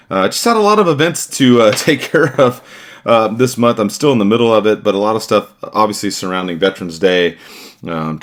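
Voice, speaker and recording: A man hosting a sports podcast, chatting casually and rambling with restarts and fillers. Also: on the low side. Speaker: podcast host.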